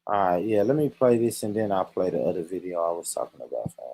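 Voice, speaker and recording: A man's voice: deep voice, very animated, fast, dark tone, husky, rough recording. A man hosting a vlog, chatting loosely. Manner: laid-back, addressing viewers. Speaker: vlogger host. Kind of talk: casual vlog chat.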